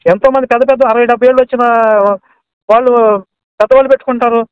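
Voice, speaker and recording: A man in a heated phone argument, aggressive, abusive and quick to interrupt, on a lo-fi call recording. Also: very high voice, level tone, clean tone, no room sound. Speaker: caller in a heated phone debate.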